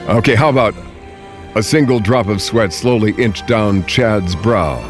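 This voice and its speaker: A man's voice: lowish voice, measured pace. A man hosting a radio show with comedic banter and a theatrical, jokey delivery. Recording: music bed under the voice. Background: music.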